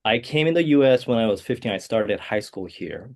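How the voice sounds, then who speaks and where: A man, a composer answering interview questions in a soft, hesitant way with many fillers. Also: mid-range voice, lively intonation, fairly quick. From South Korea. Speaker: guest composer.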